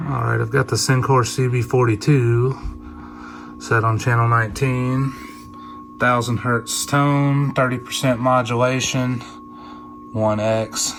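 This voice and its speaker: A man's voice: medium pitch, flat delivery, slow pace, creaky, noisy background. Folksy man demonstrating gear. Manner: folksy, colloquial, muttering to himself while working. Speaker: video host reviewing a vintage CB radio. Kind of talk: casual hands-on equipment demonstration.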